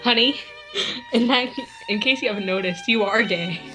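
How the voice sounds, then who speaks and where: A woman's voice: mid-range voice, normal speed, lightly acted, rough recording. A woman voicing a weak young man in an amateur dramatic reading, faint and wryly teasing. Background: faint classical music.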